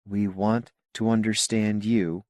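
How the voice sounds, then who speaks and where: Man reading as a measured, self-assured woman scientist. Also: fairly low pitch, flat delivery, darkish tone, no echo. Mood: pleased, thoughtful.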